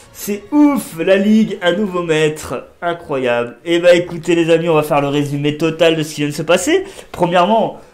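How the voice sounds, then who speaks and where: A man with a blocked nose doing casual, excitable let's play commentary as a gaming creator. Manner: excitable, pitch jumping up on exclamations.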